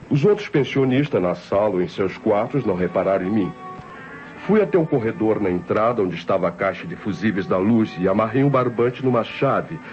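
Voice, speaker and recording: An actor playing a cold-blooded, scheming nephew who narrates his murder plot in deliberate, theatrical 1960s radio crime drama style. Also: fairly low pitch, darkish tone, natural voice. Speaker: narrator and protagonist. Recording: muffled old radio recording with music.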